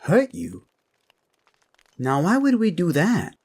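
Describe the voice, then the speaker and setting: A man playing an earnest officer in a fantasy audio drama, with an expressive delivery. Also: high voice, slow pace, husky, heavily acted. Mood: soothing, reassuring.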